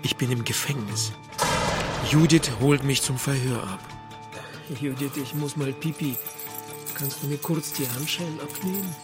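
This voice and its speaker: A man's voice: medium pitch, normal speed, natural voice. An actor playing an anxious, cornered man in a German radio drama, with a hesitant way of speaking. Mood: dreamy, uneasy. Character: anxious, cornered man, haplessly scheming, dream narrator. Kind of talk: German radio drama acting and first-person narration. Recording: music bed under the voice. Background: music.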